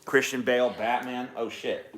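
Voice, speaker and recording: A man's voice: mid-range voice, fairly even intonation, normal speed, fairly bright tone. A man hosting a casual stream, chatting off the cuff in a rambling, profane way. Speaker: streamer host. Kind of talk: casual improvised chat. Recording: roomy echo, music in background.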